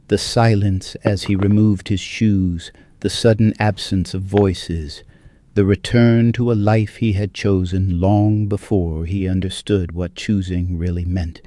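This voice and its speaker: A man narrating a scripted dramatic story in a measured, hushed, solemn manner. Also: sits low, steady tone, dry recording.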